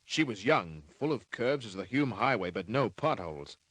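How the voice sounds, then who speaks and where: Terse hard-boiled detective, dry sound. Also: male voice, fairly low pitch, sing-song, moderate pace, fairly bright tone, breathy edge, dry recording, full character voice. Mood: world-weary, wry. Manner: terse, wry, clipped phrases. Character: hard-boiled, wisecracking male private detective. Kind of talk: 1950s radio crime drama narration and acting.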